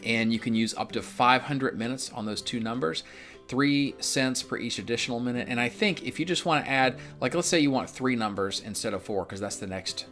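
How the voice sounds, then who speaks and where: A man with a mid-pitched voice talks in a casual, instructional style. He is from Memphis and explains a script conversationally, point by point. Soft guitar music plays faintly behind him. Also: brisk. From Memphis, United States. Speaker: real estate investor and instructor.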